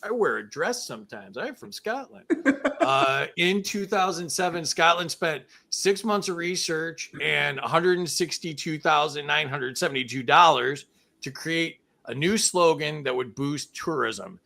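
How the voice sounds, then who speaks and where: Gravelly bright-voiced joking game show host. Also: male voice, on the high side, animated, a little slow. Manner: jokey, laughs often, reads out chat comments. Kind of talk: casual livestream game show hosting.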